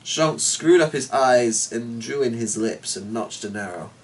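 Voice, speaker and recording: A man's voice: medium pitch, measured pace. A man giving a dramatic narration of a storybook as its reader. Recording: distant mic with noticeable background noise.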